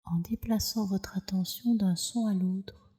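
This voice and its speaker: A woman leading a guided relaxation meditation as a yoga nidra teacher, in a soft, soothing, measured way with breathy phrase endings. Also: medium pitch.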